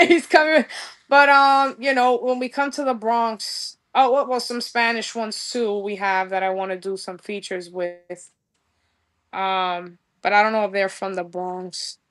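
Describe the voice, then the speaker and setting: A woman's voice: mid-range voice, steady tone, measured pace, a bit bright. A young woman from New York with a New York accent, gushing in a casual podcast interview and mixing in street slang. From New York, USA. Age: young adult. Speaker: guest musician.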